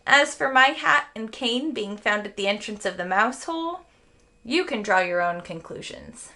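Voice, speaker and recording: A woman's voice: on the high side, expressive, moderate pace, sharp tone. A woman voicing a tiny, proper mouse boy in a storybook, dryly unruffled and matter-of-fact.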